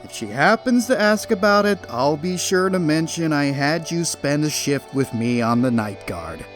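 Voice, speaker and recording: A man voicing a gentle bat pony father in an animated fan audio drama, teasing playfully in a fatherly way. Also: fairly high pitch, moderate pace, close to an everyday voice. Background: faint music.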